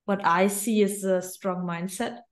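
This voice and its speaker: A woman's voice: mid-range voice, steady tone, measured pace. A woman hosting a podcast interview, speaking hesitantly and searching for her words. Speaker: podcast host.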